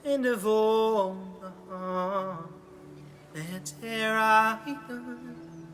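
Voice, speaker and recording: A woman singing a folk ballad in a drawn-out, lyrical style. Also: fairly high pitch, fairly bright tone, faint music behind. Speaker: singer.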